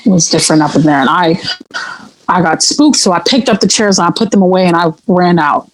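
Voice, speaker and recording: A woman's voice: fairly low pitch, a little fast, dry recording, lightly acted, poor audio. An actress playing a spooked, God-fearing churchgoing woman in an audio play, telling of a haunting in folksy, emphatic talk with repeated phrases. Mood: shaken, haunted. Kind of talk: audio play acting, conversational storytelling.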